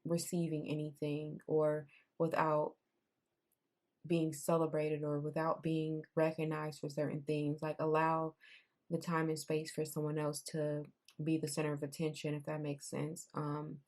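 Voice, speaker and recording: A young woman giving a casual tarot reading. She talks in a loose, repetitive way, and her voice has gone raspy. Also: low voice, completely flat delivery, a little slow, a little mellow. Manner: conversational, repeats herself, says "I'm seeing" often. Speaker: tarot reader.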